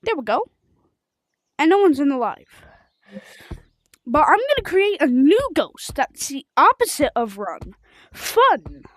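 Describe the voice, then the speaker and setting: High, animated female game streamer. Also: slow, pauses often, no room sound. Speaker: livestreamer of a video game. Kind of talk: rambling casual livestream chat while gaming.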